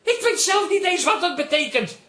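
A man's voice: extremely high pitch, expressive, normal speed, pushed and bright, exaggerated voice. An actor playing a timid, panicky male artist in a radio play, hesitant and stammering. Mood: nervous, reluctant. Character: timid, panicky male artist hiding from his own exhibition. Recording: roomy echo of a small space.

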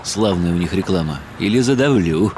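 A man's voice: low-pitched, lively intonation, normal speed, noisy background. An actor playing a weary, wry middle-aged writer who narrates his own story in ironic inner monologue for a radio drama. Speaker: narrator and lead character.

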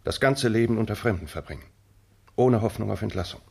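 An old colonel and proud, protective father with a low, gravelly voice. His delivery is solemn and dramatic, in 1960s German radio drama style. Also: brisk, a little mellow. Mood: grave, pained.

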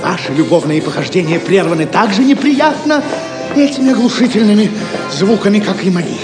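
Affected nobleman, high wry voice, echoing room, loud music behind, radio play. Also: expressive, brisk. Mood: irritated. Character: suave, sardonic Norman knight with thwarted amours. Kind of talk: audio drama acting. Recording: roomy echo, loud music underneath.

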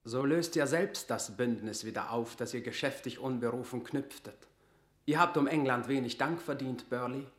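A man's voice: mid-range voice, normal speed, lightly acted. An actor plays a haughty male courtier in classical radio drama. He declaims formally with proud mockery, in a reverberant room. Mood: mocking.

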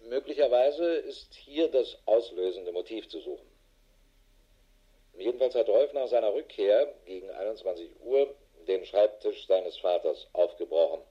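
An actor playing a harried, middle-aged police investigator in a radio drama, matter-of-fact and dry. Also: male voice, on the low side, breathy edge, muffled recording. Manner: matter-of-fact, dry, clipped official phrasing. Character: harried, world-weary male police investigator with a wife. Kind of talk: German radio drama acting, dictated report.

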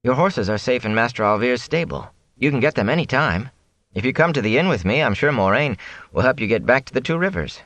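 A man's voice: mid-range voice, expressive, fairly quick, a bit bright, no echo, pitched above the performer's own voice, close to an everyday voice. Man playing an earnest young villager, lifted voice. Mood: reassuring, puzzled. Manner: earnest, hesitant, reassuring. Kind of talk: audiobook character voice.

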